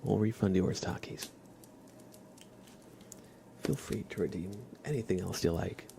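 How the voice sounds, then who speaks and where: Deep, creaky-voiced man doing soft ASMR. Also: lively intonation. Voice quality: soft, partly whispered. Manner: gentle, unhurried, casual. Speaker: ASMR livestreamer. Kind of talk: ASMR, soft relaxing talk and guided visualization. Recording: clear crinkling and ambient noise.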